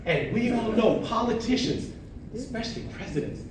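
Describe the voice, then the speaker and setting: A man's voice: extremely high pitch, animated, normal speed. A man delivering a humorous, mock-formal tall-tale speech to an audience. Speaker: speech contest storyteller. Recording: very echoey hall, distant, noisy crowd.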